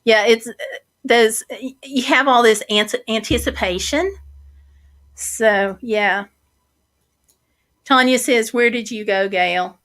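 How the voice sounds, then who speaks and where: A woman hosting a casual livestream and reading out viewer comments, talking slowly with many fillers while her voice is going hoarse. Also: on the high side, a bit bright. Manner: slow, chatty, many fillers. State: losing her voice, throat clearing. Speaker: livestream host.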